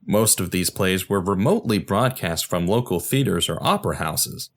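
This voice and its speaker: Low-pitched male podcast host, lively intonation. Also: moderate pace. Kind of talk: scripted podcast narration with casual asides.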